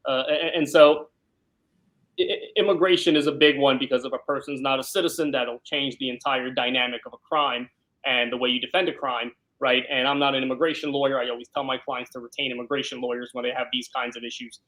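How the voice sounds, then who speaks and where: A man with a mid-pitched voice answers as an interview guest. He is talkative and explanatory, speaking conversationally and often tagging points with a short check for agreement. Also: fairly even intonation, quick pace, a bit bright, poor audio. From born in Ukraine, grew up in South Brooklyn, New York. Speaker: criminal defense lawyer, interview guest.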